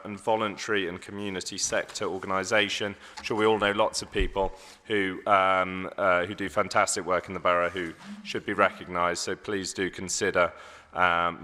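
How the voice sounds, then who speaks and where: A slightly hoarse man with a lowish but forceful, bright voice runs a council meeting in procedural, measured tones. He fills pauses with ums and restarts words. The big chamber rings with a long reverb. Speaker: mayor chairing a council meeting. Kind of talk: formal meeting chairing. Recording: long echo of a large hall.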